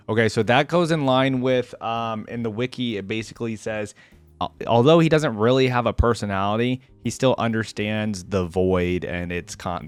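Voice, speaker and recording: A man hosting a reaction video, chatting casually to his viewers in an animated way and laughing often. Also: low voice, clean tone. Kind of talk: casual chat reacting to game audio.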